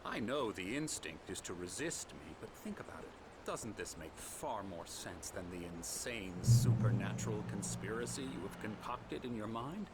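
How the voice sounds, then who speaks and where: An actor playing a smug, manipulative middle-aged psychiatrist in a video game, with a pompous, patronizing manner. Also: male voice, fairly low pitch, animated, moderate pace, hardly any pauses. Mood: patronizing persuasion. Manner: pompous, patronizing, smooth-talking. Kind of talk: dramatic video game dialogue. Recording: loud ambient noise behind.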